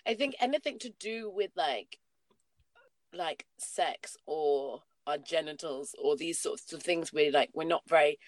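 Deep-voiced woman, rambling casual chatter, dry unreverberant audio. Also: unhurried, dry recording. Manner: rambling, laughs often, many fillers. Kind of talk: casual chat. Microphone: not a proper microphone.

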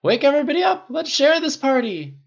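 A narrator acts out a brash, hard-drinking party host in a story. The voice jumps well above ordinary talk, loud and emphatic, with big swings, on a slightly dull recording. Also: medium pitch, huge pitch swings, normal speed, slightly rough, voice pushed up. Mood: boisterous demanding. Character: brash, boozy, excitable man, rich party-throwing boss.

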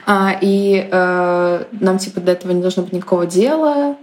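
A woman in a casual conversation, speaking thoughtfully and with measured hesitation. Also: lowish voice, a little mellow.

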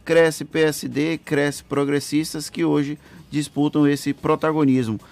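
A man's voice: fairly high pitch, little pitch movement, a little slow, gravelly, darkish tone. A man working as a radio journalist, asking formal, analytical questions in an interview.

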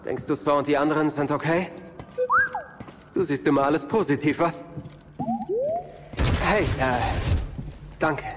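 A man's voice: a little high, measured pace, long pauses, loud music behind the voice, close to an everyday voice. A man playing an earnest, hopeful young Jedi in a German audio drama.

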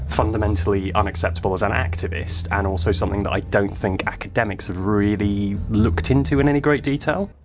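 A man's voice: on the low side, fairly even intonation, fairly quick, few pauses, faint music behind. A young man from Manchester, now with a southern English accent, chatting as a guest on a research podcast, wry and joking. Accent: southern English, no northern accent. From Manchester, England. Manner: wry, joking, swears freely. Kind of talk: casual interview chat.

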